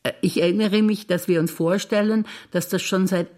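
An older woman performing scripted stage dialogue, wry and teasing in quick exchanges. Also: medium pitch, fairly even intonation, darkish tone. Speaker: stage actor.

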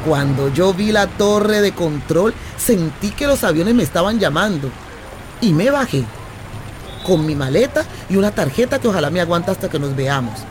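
Actress as adventurous Colombian woman, restless, affectionate, playful storyteller, radio drama, clear traffic noise behind. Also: lowish voice, lively intonation, moderate pace, natural voice. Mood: excited, storytelling.

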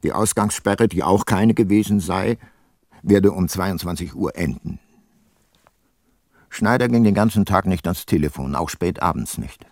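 Low-voiced dry male detective. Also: a little slow, darkish tone, no room sound. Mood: sober, reflective. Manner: dry, understated, laconic. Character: dry, sceptical private detective, male, narrating his case. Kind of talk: German radio crime drama, narration and dialogue.

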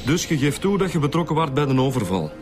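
A man's voice: a little high, sing-song, fast talker. A man with a Flemish accent playing a blunt, confrontational detective in a radio drama. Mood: confronting. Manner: confrontational, matter-of-fact. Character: blunt male detective confronting a young suspect with evidence. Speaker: police interrogator. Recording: faint music behind the voice.

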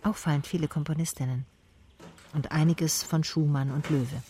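A female private detective in a radio play, acted by a woman, with a low voice and faint noise behind her. She is observant and reflective, speaking in measured, matter-of-fact phrases. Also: fairly even intonation, mellow, close to an everyday voice. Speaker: actor and narrator in a radio play. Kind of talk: first-person radio drama narration and dialogue.

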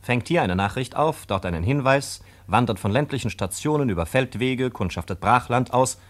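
An actor playing a factual chronicler in a radio play, delivering scripted narration in a matter-of-fact, clipped way. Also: male voice, medium pitch, animated, moderate pace, natural voice.

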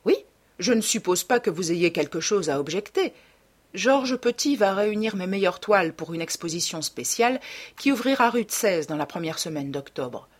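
An affable gentleman painter in a storybook read by a woman, speaking as a devoted friend. The manner is courteous and questioning, the voice a little husky and earnest. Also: on the low side, expressive, normal speed. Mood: casual.

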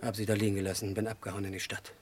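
An actor playing a deranged, childlike, lovesick murderer in a German radio crime drama, confessing in halting, simple sentences. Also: male voice, lowish voice, flat delivery, slight background noise, lightly acted. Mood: haunted, brooding. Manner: halting, brooding monologue in short simple sentences.

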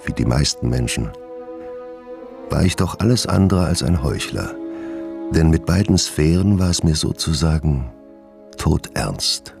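An actor playing a genteel doctor with a cruel, sinister second nature in a German radio drama, speaking in a measured, theatrical way. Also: male voice, extremely low pitch, expressive, gravelly, music in the background, heavily acted. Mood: grave confession.

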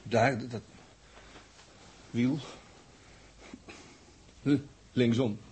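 A man playing a gentle, reluctant space crewman in a 1960s Dutch radio drama, hesitant and soft-spoken, with a Netherlands accent. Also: medium pitch, steady tone, very slow, very noisy. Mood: reluctant, uneasy.

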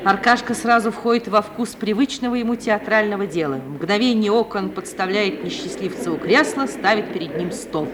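A woman's voice: mid-range voice, lively intonation, a little fast, few pauses, background noise. A woman giving scripted narration for a radio play, on a vintage 1950 recording.

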